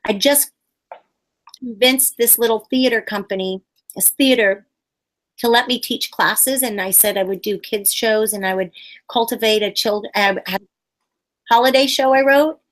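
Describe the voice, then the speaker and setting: A middle-aged woman puppeteer telling stories in a casual interview, bubbly and animated, acting out quotes in high voices. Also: normal speed.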